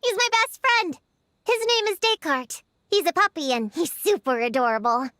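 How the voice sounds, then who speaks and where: A woman voicing a playful, chatty little girl in an animated video game style. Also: very high-pitched, normal speed, dry recording, full character voice. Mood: bubbly, eager. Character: excitable little girls, childlike, playful and chatty.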